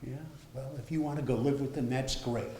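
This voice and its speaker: A man's voice: mid-range voice, steady tone, brisk, background noise, natural voice. A man playing a skeptical, exasperated working husband in a stage play, arguing pointedly. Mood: resentful. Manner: argumentative, pointed. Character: skeptical, exasperated older working husband guarding his money. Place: indoor theatre stage. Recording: echoey theatre stage.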